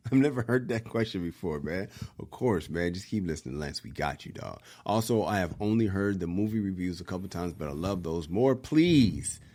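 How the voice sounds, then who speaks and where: A man's voice: sits low, lively intonation, moderate pace, hardly any pauses, a little mellow. A man co-hosting a podcast, chatting casually in slang and reading out listener mail. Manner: laid-back, slangy, reads listener mail. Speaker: podcast co-host.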